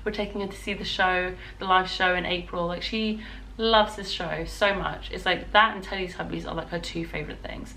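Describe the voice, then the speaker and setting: Female British vlogger, lowish hoarse voice with fry, chatty and informal. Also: fairly even intonation, moderate pace, few pauses, pushed and bright, slight background noise, rough recording. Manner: rambling, chatty. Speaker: knitting vlogger and designer. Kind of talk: casual vlog chat.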